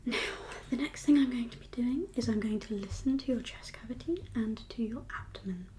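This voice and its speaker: A woman whispering as a doctor in an ASMR exam roleplay, giving gentle, reassuring instructions in a breathy voice. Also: fairly high pitch, fairly quick, a little hiss or hum. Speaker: ASMR performer playing a doctor.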